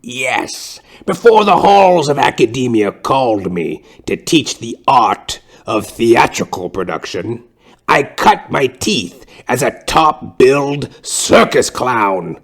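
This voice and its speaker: A man voicing a theatrical old woman, a retired circus clown turned drama teacher, with a grandiose, drawn-out, cajoling delivery in a comic audio play. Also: medium pitch, big pitch swings, heavily acted. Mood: boastful, rambling.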